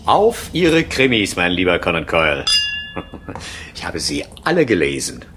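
An actor playing a jovial, business-minded aristocratic castle lord in a 1970s radio play, chummy and grandiose with pauses for effect. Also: male voice, low-pitched, wildly swooping pitch, noisy background, full character voice. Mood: jovial, flattering.

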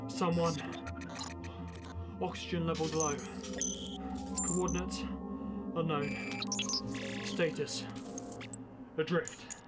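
A man playing a shaken young engineer stranded in space in a sci-fi audio drama, speaking in halting fragments. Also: fairly high pitch, level tone, over loud music. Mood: shaken, weary.

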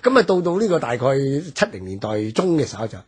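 Husky Hong Kong Cantonese man in his sixties. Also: fairly high pitch, sing-song, fast talker. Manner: explanatory, full of fillers like 呢 and 啦. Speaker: guest commentator. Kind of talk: radio history discussion.

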